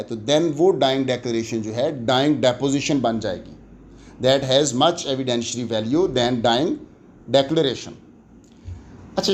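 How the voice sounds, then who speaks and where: Higher-voiced Pakistani man giving a lecture. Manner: deliberate, emphatic, switches between languages. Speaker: law lecturer. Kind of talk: explanatory exam lecture.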